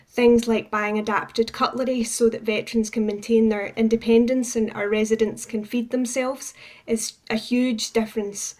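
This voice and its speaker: A woman's voice: fairly high pitch, darkish tone. A woman with a Scottish accent giving explanatory answers as a charity manager in a radio interview.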